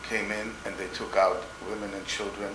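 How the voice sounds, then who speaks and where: Deep-voiced older man, halting testimony. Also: level tone. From Poland. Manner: halting, slow, repeats words and restarts. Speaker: interviewee, Holocaust survivor. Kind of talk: oral history testimony. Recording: echoey room with background noise.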